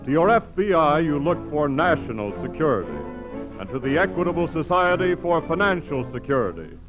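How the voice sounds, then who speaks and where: A man's voice: a little high, very animated, brisk, over music. A man with an American accent making a formal, solemn and declamatory 1940s radio announcement. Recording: muffled old radio broadcast recording.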